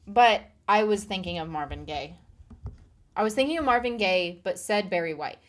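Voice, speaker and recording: A woman's voice: mid-range voice, animated, normal speed. A woman streamer chatting casually on a live stream, reading viewer comments aloud and laughing along.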